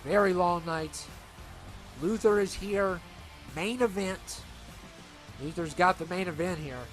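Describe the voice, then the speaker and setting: A man with a stuffed-up nose doing rambling, excitable play-by-play for a video game wrestling show. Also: high-pitched, slow pace, soft background music. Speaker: commentator.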